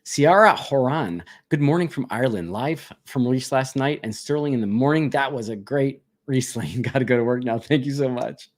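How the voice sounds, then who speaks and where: A man's voice: medium pitch, normal speed, hardly any pauses. A man hosting a casual live question-and-answer stream, reading viewer questions aloud and answering them chattily with frequent laughs.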